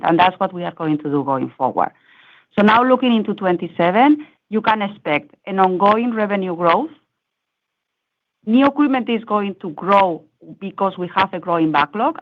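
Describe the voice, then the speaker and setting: A woman executive giving measured, structured, formal answers on a corporate earnings call. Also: mid-range voice. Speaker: company executive answering analysts. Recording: muffled lo-fi conference call audio.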